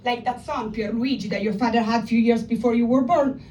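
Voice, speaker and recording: High-voiced Italian woman doing stand-up. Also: steady tone, brisk, slight background noise. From Italy. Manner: chatty, profane, talks to the crowd. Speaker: stand-up comedian. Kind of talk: open mic stand-up set. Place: small comedy club with live audience. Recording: loud crowd laughter, voice from a distance.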